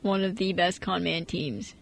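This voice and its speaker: A woman's voice: on the low side, flat delivery, normal speed, creaky. A young woman co-hosting an amateur radio show, chatting casually in a playful, slangy way. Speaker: co-host and writer of an amateur radio drama show. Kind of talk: casual group chat.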